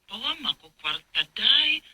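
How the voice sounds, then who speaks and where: An actress playing a brazen, wheedling, hot-tempered elder sister in a radio drama, with an emphatic, insistent delivery. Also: female voice, on the high side, very animated, measured pace, background noise. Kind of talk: Filipino radio drama acting.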